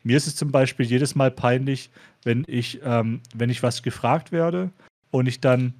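A man in a relaxed, informal conversation as a podcast co-host, with a mid-pitched voice. He starts phrases over and repeats small words while he looks for the right one. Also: unhurried. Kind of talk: casual chat.

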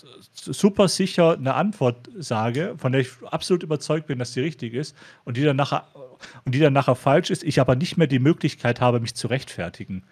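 A man co-hosting a casual talk, speaking haltingly and often repeating words as he searches for phrasing. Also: medium pitch, a little mellow, husky. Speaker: podcast co-host. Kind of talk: casual chat.

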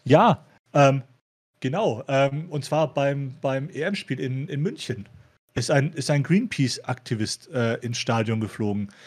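A man in a relaxed, informal conversation as a podcast co-host, with a mid-pitched voice. He starts phrases over and repeats small words while he looks for the right one. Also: a little slow, breathy edge. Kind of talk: casual chat.

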